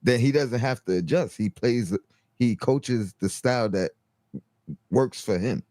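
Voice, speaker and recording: A man's voice: medium pitch, measured pace, dark tone, no room sound. A man giving casual sports analysis, stumbling and restarting often and repeating "you know what I mean".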